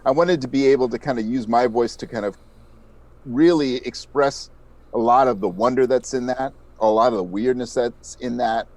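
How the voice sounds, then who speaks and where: A middle-aged man speaks as a guest in a conversational interview. His pitch sits in the middle of the male range. He explains his craft as a voice actor with enthusiasm. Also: normal speed, a little mellow.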